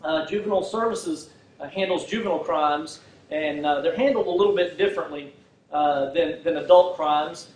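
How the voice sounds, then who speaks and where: A man's voice: a little high, normal speed, darkish tone. A man giving a folksy campaign speech with lots of "uh" fillers and restarts, speaking in a community hall without a microphone. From Fort Worth, Texas. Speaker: political candidate. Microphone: no microphone, recorded from far off. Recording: echoey hall from far off, faint hiss.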